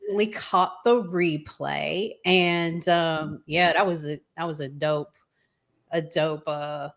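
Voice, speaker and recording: Woman podcast host, lowish voice, unhurried halting delivery with emphatic repeats. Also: fairly even intonation. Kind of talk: conversational podcast hosting.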